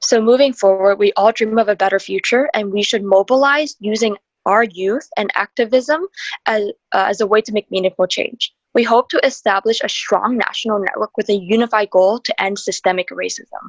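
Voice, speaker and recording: A woman's voice: medium pitch, moderate pace, dry recording. Young woman reading over dull, dry call audio. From Hong Kong. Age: young adult. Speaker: youth presenter. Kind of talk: reading a presentation script. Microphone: video call.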